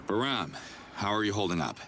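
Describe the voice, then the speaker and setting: An actor playing a blunt, wry human hero in a video game cutscene. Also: male voice, fairly low pitch, lively intonation, a little fast, bright, forceful tone, faint music behind. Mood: concerned, steady. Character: rugged human hero, blunt, wry soldier helping villagers.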